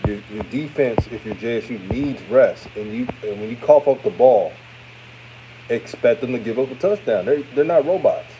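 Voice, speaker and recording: A man in his thirties, from Houston, with a deep voice that sounds older, giving casual hot takes and reading chat comments on a live stream. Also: moderate pace, slight background noise, rough recording. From Houston, Texas. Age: 30s. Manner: relaxed, chatty, repeats himself, trails off. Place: his room after a game.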